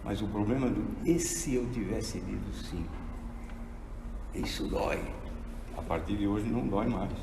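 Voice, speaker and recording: A man's voice: lowish voice, normal speed, gravelly, pauses often, recorded from a distance, noisy background. Man in his sixties lecturing in an echoey hall. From Argentina. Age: 60s. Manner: animated, preacherly storytelling. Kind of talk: theology lecture to an audience.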